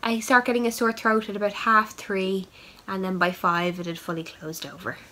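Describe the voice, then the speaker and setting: A woman's voice: medium pitch, level tone, moderate pace, sharp tone, slight background noise. Chatty woman with a head cold. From Ireland. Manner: chatty, many ums, upbeat asides. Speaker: knitting podcast host. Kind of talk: casual show-and-tell chat.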